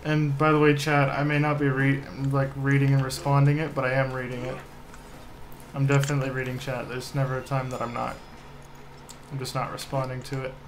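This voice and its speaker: Flat-toned young man streaming, noisy background. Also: mid-range voice, fairly quick. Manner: downbeat, self-deprecating. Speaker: live streamer, host. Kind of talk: casual chat while game streaming. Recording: noisy room, typing in background.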